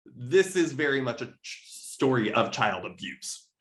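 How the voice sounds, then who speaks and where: A man speaking as a podcast guest, discussing fiction casually in an emphatic, halting way. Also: mid-range voice, very animated, a bit bright. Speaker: podcast guest, literary editor. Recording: roomy, echoey.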